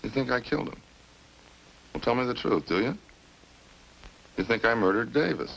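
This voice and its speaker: A man's voice: mid-range voice, expressive, moderate pace, creaky, a bit bright, rough recording. A man playing a talkative, smug murder suspect in a radio crime drama, folksy and cagey as he casually needles the police. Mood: defiant, needling. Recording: hissy old radio recording.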